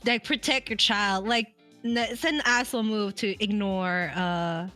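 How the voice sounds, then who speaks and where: A woman's voice: mid-range voice, unhurried, bright, forceful tone, soft background music. A woman in casual stream chat, rambling with many restarts and giving blunt opinions. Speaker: streamer co-host.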